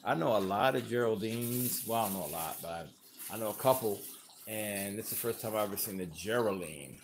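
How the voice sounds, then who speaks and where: A man's voice: lowish voice, a little fast, noisy background. A man co-hosting a home cooking livestream from off camera in a kitchen, chatting casually and reading viewer comments aloud. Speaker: co-host reading live chat.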